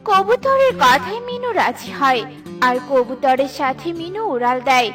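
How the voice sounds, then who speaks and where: A woman's voice: sits high, big pitch swings, moderate pace, music in the background. A woman reading scripted narration as the storyteller of a cartoon.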